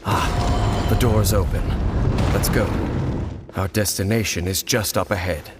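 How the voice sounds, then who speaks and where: An actor playing a grave, mysterious male wanderer in a video game, giving measured, commanding orders. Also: heavy background noise, natural voice. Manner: measured, commanding, low-key delivery. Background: soft game music.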